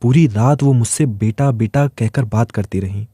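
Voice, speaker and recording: A man's voice: medium pitch, normal speed, mellow, breathy edge, no room sound, studio quality. A man reading a scripted first-person dramatic story as its narrator.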